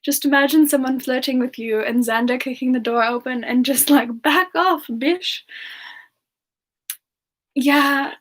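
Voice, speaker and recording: A woman doing a semi-ASMR live question-and-answer chat in character, musing aloud in a halting, giggly manner. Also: sits high. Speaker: livestream host in character, answering viewer questions.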